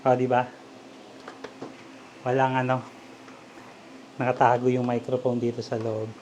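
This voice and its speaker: A Filipino man with a mid-pitched voice walks through a hands-on task step by step. He talks informally, in short casual remarks as he works, with clear ambient noise around him. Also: flat delivery, a little slow, a little mellow, dull audio. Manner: informal, talking along while working with his hands. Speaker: vlogger giving a DIY demonstration. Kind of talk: casual step-by-step tutorial talk.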